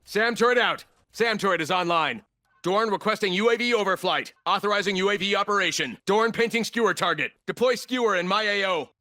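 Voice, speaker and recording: A man's voice: high-pitched, a little fast, bright, forceful tone. A man voicing a ruthless, arrogant military operator in a shooter game, giving clipped, commanding combat callouts in a cold, contemptuous manner.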